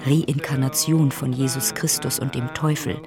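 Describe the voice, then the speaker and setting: A man's voice: medium pitch, fairly even intonation, normal speed, over music. A man narrating a documentary radio drama from a script.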